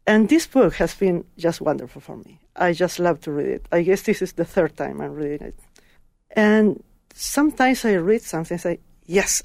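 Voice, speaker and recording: Gravelly woman, earnest. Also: medium pitch, no room sound, studio quality. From Chile. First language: Spanish. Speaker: guest. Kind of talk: heartfelt personal testimony.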